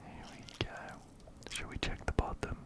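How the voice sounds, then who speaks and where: A man whispering softly and breathily, close up to a binaural microphone, gently repeating phrases as he gives soothing ASMR game commentary. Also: measured pace. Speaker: ASMR host playing a video game.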